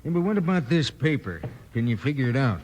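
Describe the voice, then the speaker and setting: Slightly husky frontier sheriff. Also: male voice, medium pitch, big pitch swings, quick pace, a little mellow. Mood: grave. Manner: sober, matter-of-fact. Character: plain-spoken, serious male frontier sheriff. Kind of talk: 1950s radio western acting.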